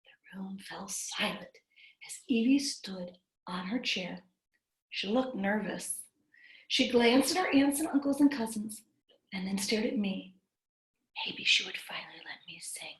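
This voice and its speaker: A woman reading a storybook aloud to young children in an animated, expressive way, breaking off to chat with them. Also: medium pitch, measured pace, long pauses. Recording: roomy, echoing livestream sound.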